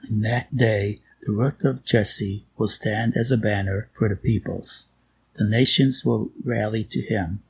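A man reading a scripture passage aloud in a measured, solemn liturgical style. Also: fairly low pitch, gravelly, a little mellow, slightly rough. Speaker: scripture reader.

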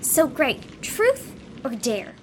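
A woman voicing an eager teenage girl in an audio drama, extremely high and bouncy in pitch. She is chatty and easily flustered, with some noisy ambience behind her. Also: huge pitch swings, takes it slowly, heavily acted. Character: chatty, eager fifteen-year-old girl named Tita.

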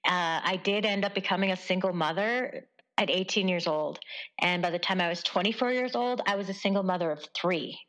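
A woman in her forties from Canada, a journalist guest speaking thoughtfully in an interview, with many pauses and fillers. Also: lowish voice, fairly bright tone, rough recording. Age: 40s. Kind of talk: conversational interview.

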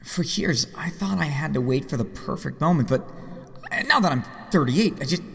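A man's voice: on the high side, animated, moderate pace, creaky, a bit bright. An actor playing a rich, sardonic yet earnest man in his late thirties in an audio drama. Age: 30s. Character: rich, sardonic, earnest middle-aged male vigilante heir. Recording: long hall reverb, faint music behind.

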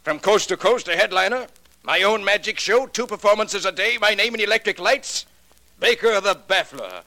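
Proud old trick maker, bitter, emphatic, wide pitch swings, dry 1940s radio recording. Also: male voice, expressive, moderate pace, sharp tone, no room sound, exaggerated voice. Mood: bitter, indignant. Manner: emphatic, theatrical, rhetorical questions. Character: bitter aging magic-trick maker, a proud former stage magician.

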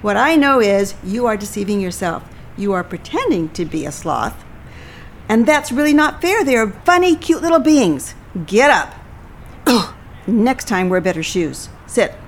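An actress playing a blunt, commanding seventy-one-year-old Army veteran who barks clipped orders in a stage play. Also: female voice, mid-range voice, very animated, unhurried, slight background noise. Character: brisk, bossy 71-year-old woman, ex-Army fitness drill-sergeant. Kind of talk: scripted two-person stage comedy-drama.

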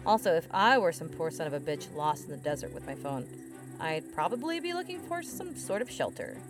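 A woman's voice: low voice, very animated, a little fast. A woman playing a brash, eager, foul-mouthed young investigator in an audio drama, with a slangy, sarcastic, pushy delivery. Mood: keen, wry. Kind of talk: full-cast audio drama acting. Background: music.

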